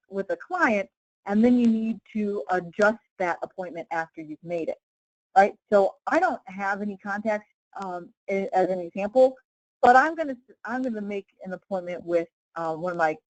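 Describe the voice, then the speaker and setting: A woman's voice: mid-range voice, no room sound, low-quality recording. Woman trainer, casual step-by-step tutorial, dry sound. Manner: informal, step-by-step explaining with check-in questions. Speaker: software trainer. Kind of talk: casual live screen-share tutorial.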